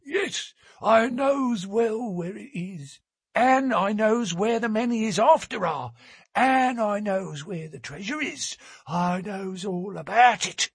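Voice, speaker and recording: A man voicing a petulant, stubborn old sailor with a gruff, quavering voice. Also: high-pitched, animated, slow, no echo, clean studio sound, pitched above the performer's own voice, exaggerated voice. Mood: stubborn, querulous.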